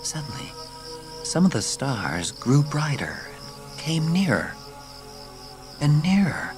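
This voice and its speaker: A man narrating a children's story as scripted audiobook, with measured, dramatic storytelling. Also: on the high side, very animated, over music.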